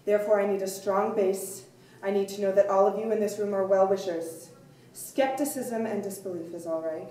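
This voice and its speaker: A woman playing a grave, commanding elderly blind soothsayer in a staged play reading, speaking formally and deliberately. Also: medium pitch, fairly even intonation, dark tone, close to an everyday voice. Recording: echoey room, distant mic, faint noise.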